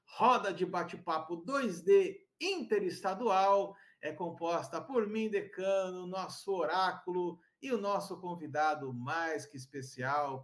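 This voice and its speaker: A man with a fairly high voice hosts a relaxed conversation, friendly and upbeat. He welcomes the guest warmly and keeps asking questions, in a casual podcast chat. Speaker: podcast host.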